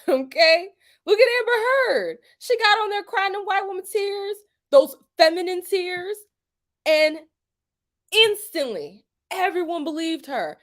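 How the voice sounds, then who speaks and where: High-voiced woman ranting, laughs a lot. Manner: emphatic, keeps asking "okay?", laughs often, swears; colloquial, drops into "y'all" and "ain't". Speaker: YouTube commentator. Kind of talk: casual opinion monologue.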